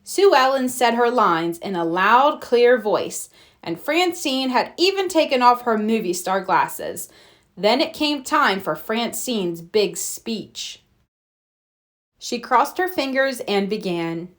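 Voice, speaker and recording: A woman reads a children's story aloud. Her voice sits a little high and rises and falls in a very animated, expressive narration. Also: takes it slowly. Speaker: storybook reader.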